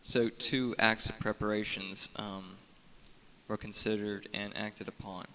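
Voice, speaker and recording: A man's somewhat low voice with a forceful, bright edge, recorded in a reverberant room over audible hiss. He talks as a solo video blogger in a halting, solemn monologue. His phrasing is cryptic, with words repeated for emphasis. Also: little pitch movement. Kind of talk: unscripted spiritual monologue to camera.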